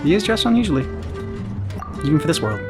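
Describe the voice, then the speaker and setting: A woman's voice: low voice, big pitch swings, fast. A woman hosting a game reaction with casual, joking, offhand commentary. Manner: joking, short offhand remarks. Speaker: reaction video host. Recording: loud game music bleeding in.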